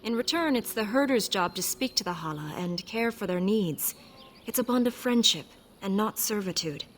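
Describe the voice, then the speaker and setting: An actress playing a gentle, devoted elven herder in a fantasy game, speaking in a measured, earnest, formal way. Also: female voice, mid-range voice, animated, slight background noise, natural voice. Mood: proud, gentle. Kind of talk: fantasy game dialogue acting.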